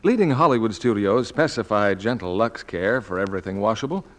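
A man doing polished announcing and sponsor pitches in 1940s radio style. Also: animated, a little fast.

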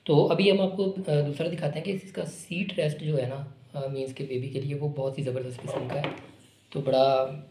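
A man casually explaining, step by step, how to unbox and assemble a product. He talks in a relaxed, repetitive way while working with his hands. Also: fairly high pitch, moderate pace. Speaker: online shop product demonstrator. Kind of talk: unscripted unboxing and assembly walkthrough. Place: indoor room with a table. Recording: echoey room with noticeable background noise.

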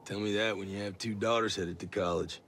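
A man playing a tough, confident American special forces soldier in a video game, talking casually with measured military pauses. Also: lowish voice, steady tone, fairly bright tone, slight background noise. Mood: gruff, laid-back. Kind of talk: video game cutscene acting.

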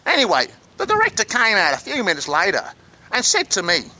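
A man playing a brash, excitable, matey Australian actor with an Australian accent and plenty of slang, in a vlog monologue. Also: high voice, brisk, full character voice.

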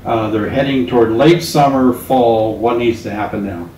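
An easygoing man in his fifties hosts a relaxed chat, often nodding along with a short yes. His voice comes from a camera some distance off, in a room with plenty of echo. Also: mid-range voice, moderate pace, a little hiss or hum. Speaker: host. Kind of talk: casual conversation. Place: living room, indoors. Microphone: far camera. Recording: echoey room, distant mic.

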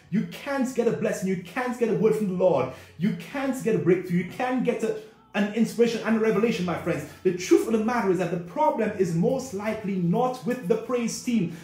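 A man's voice: very high-pitched, darkish tone. A man preaching a fervent sermon, emphatic and repetitive, addressing his listeners directly. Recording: very echoey room, music behind.

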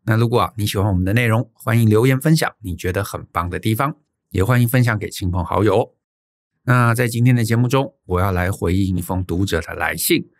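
台灣口音的男性播客主持人. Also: male voice, lowish voice. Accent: Taiwanese Mandarin. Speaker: podcast host. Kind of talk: conversational podcast, reading out a listener's letter.

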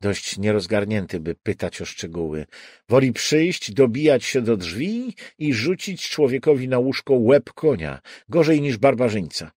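A man voicing a sharp-tongued female police commissioner in an audiobook, sarcastic and teasing. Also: medium pitch, very animated, unhurried, no echo, clean studio sound. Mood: sardonic grumbling.

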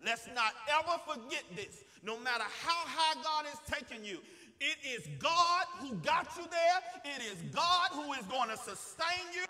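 The man you would hear from the pulpit on a Sunday, piercing and forceful, hammering each phrase again for the pews, his words echoing long through the church. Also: very high-pitched, animated, moderate pace. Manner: impassioned, emphatic, repeating phrases for effect; calls on the congregation to respond. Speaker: preacher. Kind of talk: sermon. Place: church, preaching to a congregation. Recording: long reverberant hall sound.

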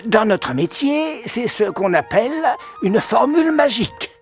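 A performer voicing a fussy, composed magic book in a cartoon, formal and instructive, quick to admonish. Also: mid-range voice, sing-song, faint music behind. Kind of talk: animated cartoon dialogue.

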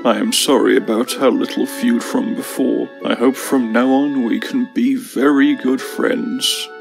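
A man's voice: mid-range voice, steady tone, unhurried, gravelly, soft background music, rough recording. A man voicing a courteous, earnest, formal steam engine in a cartoon. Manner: polite, earnest.

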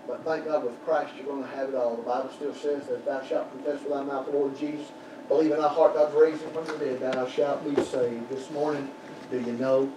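An older man preaching a sermon in a small church, building to shouted peaks and dropping to quiet prayer. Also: mid-range voice, level tone, a little fast. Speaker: pastor. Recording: roomy church echo from far off, background noise.

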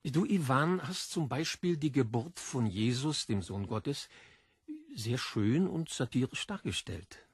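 A man's voice: medium pitch, lively intonation, a little slow, husky, no room sound, clean studio sound. A man playing a self-assured, learned literary editor in a radio play, lecturing in a didactic, pompous manner.

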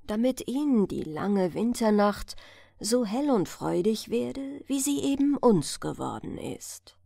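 A woman's voice: fairly high pitch, sing-song, takes it slowly, creaky, mellow, no room sound, studio quality, exaggerated voice. A woman voicing a kindly, motherly fairy spirit, reverent and solemn, in a storybook reading. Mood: joyful, solemn. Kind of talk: fairy-tale audiobook character reading.

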